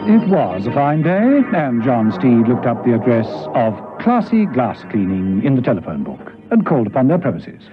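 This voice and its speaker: An actor playing a wry, dramatic storyteller, narrating and announcing a scripted radio spy adventure. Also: male voice, medium pitch, very animated, moderate pace, hardly any pauses, close to an everyday voice. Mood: wry suspense. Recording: dull lo-fi radio, music behind.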